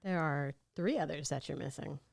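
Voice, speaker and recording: A woman's voice: sits low, normal speed, vocal fry, a little mellow, no room sound. A woman guest in a casual chat, speaking in a dry, playful way. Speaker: podcast guest, amateur voice actor.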